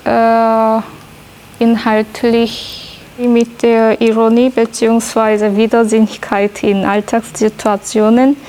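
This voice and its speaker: A woman from South Korea with a Korean accent, presenting her own art work in a careful, measured, scripted way. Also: a little high, mellow, poor audio. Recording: faint background noise.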